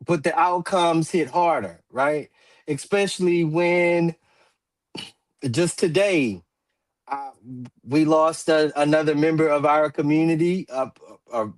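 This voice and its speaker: A man in his forties hosts a roundtable discussion at an unhurried, deliberate pace. His voice sits a little high for a man and is recorded close and dry. He pauses often and restarts his sentences. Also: no room sound. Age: 40s. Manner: measured, many fillers and restarts, clears throat. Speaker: talk show host.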